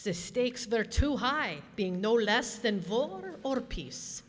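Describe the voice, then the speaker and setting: A scheming onion ruler from a radio play, acted by a woman, speaking in a fairly high voice with menacing courtesy. The delivery is theatrical and condescending, ringing in a reverberant hall. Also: expressive, takes it slowly. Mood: courteous, coldly reasoning. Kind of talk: stage radio drama acting. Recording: reverberant hall, stage performance.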